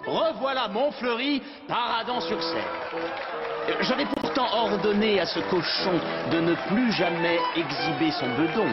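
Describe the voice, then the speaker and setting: A grandiloquent, boastful cartoon swordsman declaims in a high voice. He is fearless and mocking. Loud music and crowd noise sit behind him. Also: measured pace, muffled recording. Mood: indignant. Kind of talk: French cartoon voice acting, theatrical.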